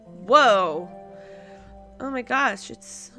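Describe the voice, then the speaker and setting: A woman with a high, bright voice hosts a casual video game playthrough. She thinks aloud at an unhurried pace and breaks into exclamations of surprise. Faint music sits behind her. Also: animated. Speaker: host of a gaming series. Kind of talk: casual let's play commentary.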